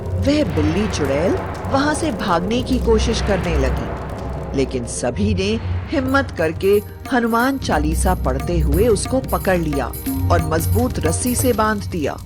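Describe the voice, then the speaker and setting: A woman storyteller reading a scripted horror story in a dramatic, deliberate manner. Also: mid-range voice, big pitch swings. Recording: music bed behind the voice.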